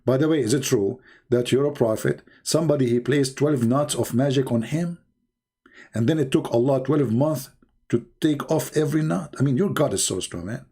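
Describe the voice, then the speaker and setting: A man's voice: medium pitch, moderate pace, mellow, slightly rough. Sarcastic Arabic-accented male debate host. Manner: sarcastic and taunting, laughs at own jokes. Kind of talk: mocking livestream debate.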